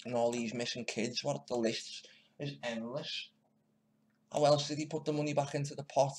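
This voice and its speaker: A man's voice: mid-range voice, little pitch movement, normal speed. A man giving casual, mocking commentary while editing clips, often muttering to himself. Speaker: video maker commenting on news clips.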